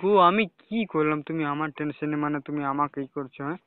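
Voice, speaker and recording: Young male caller, slightly high voice, casual and questioning, on a dry lo-fi phone recording. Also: fast, no echo. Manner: repeatedly checking with questioning tags. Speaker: caller talking to a romantic partner. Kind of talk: casual private phone conversation. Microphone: phone call. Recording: dull, lo-fi phone call recording.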